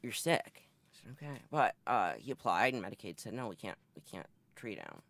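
A man's voice: on the low side, wildly swooping pitch, brisk, gravelly, a bit bright, dry recording. A man in his twenties from Massachusetts, candidly telling his life story in an interview. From northeastern Massachusetts. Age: 20s. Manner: candid, matter-of-fact storytelling. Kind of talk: oral history interview.

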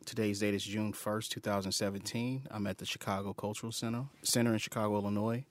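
A man's voice: on the low side, quick pace, no echo. A man in his thirties giving a plain, short introduction of himself. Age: 30s. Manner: plain, flat self-introduction. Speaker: advocate. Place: indoors at a cultural center.

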